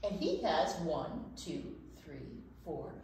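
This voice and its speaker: A woman's voice: low-pitched, big pitch swings, slow, a little mellow. A woman in her sixties presenting a children's storytime, talking and reading aloud expressively, with emphatic repeats of key words. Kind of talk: casual children's storytime talk and read-aloud. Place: library children's room. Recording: slightly echoey room, faint noise.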